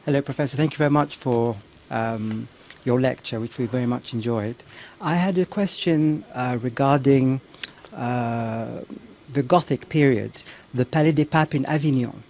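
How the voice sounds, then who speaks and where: A man's voice: mid-range voice, normal speed, a little mellow, slight background noise. A man in the audience asking a lecturer questions politely, often agreeing with "right" and "yes". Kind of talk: polite Q&A exchange.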